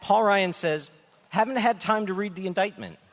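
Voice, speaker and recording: A man's voice: sits high, normal speed. A man on a political panel delivering a wry, exasperated rant in conversational phrasing. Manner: wry, exasperated, acts out mock quotes. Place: stage in a live venue. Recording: echoey hall live recording.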